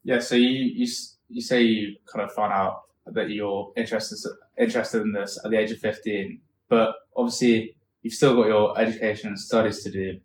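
A man hosting a podcast, asking long, relaxed, rambling questions. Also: on the low side, moderate pace. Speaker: podcast host. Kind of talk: casual podcast interview. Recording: roomy echo, faint room noise.

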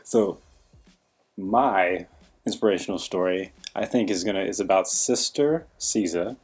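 A man hosts a casual podcast, joking with his co-host and prompting him for stories. His voice is very deep and a bit husky. Faint music plays underneath. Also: a little slow, darkish tone. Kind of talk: upbeat casual podcast hosting.

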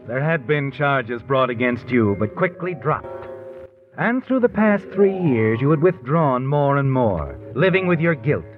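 A narrow, tinny vintage radio recording with music behind carries a sinister American narrator. He is knowing and insinuating, and his pitch rises and falls dramatically as he taunts like a voice of conscience. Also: very animated. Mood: knowing, ominous. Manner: ominous, insinuating, dramatic, deliberate. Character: knowing, sinister, taunting male omniscient storyteller. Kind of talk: 1950s American radio suspense narration in second person.

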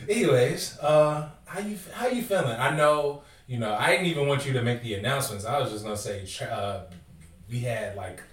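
A man's voice: medium pitch, expressive, a little fast, roomy sound, recorded from a distance. A man co-hosting a casual podcast, laid-back and teasing, laughing often. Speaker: podcast co-host.

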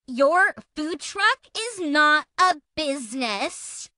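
A woman's voice: high voice, expressive, takes it slowly, bright, forceful tone, no room sound, clean studio sound, voice pushed up, exaggerated voice. A woman voicing a self-righteous, aggrieved, menacing woman in an exaggerated, accusatory dramatic reading of text messages. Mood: smug, accusing.

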